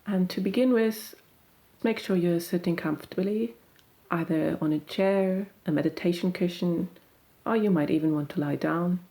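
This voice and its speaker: Low, mellow woman guiding a meditation. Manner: gentle, unhurried, soothing instruction with long pauses. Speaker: meditation guide. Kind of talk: guided meditation.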